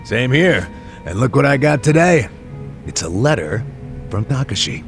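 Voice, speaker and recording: An actor playing a hearty, generous middle-aged man with a gravelly voice in a video game dub, talking casually and jovially. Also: mid-range voice, sing-song, moderate pace, music in the background. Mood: cheerful.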